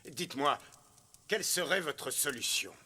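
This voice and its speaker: A man voicing a regal, measured pharaoh and father in a French cartoon dub. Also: fairly high pitch, expressive, very noisy. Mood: solemn.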